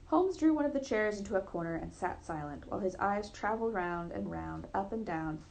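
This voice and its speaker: A woman's voice: mid-range voice, animated, a little fast, vocal fry, a little mellow, rough recording. A woman narrating a classic story aloud, expressively and with the occasional stumble over words. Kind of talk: read-aloud literary narration.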